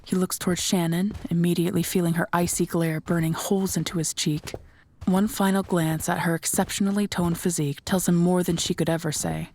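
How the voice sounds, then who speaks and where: A woman's voice: lowish voice, little pitch movement, a little fast, darkish tone, a little hiss or hum. A woman reading scripted third-person narration for an audio drama.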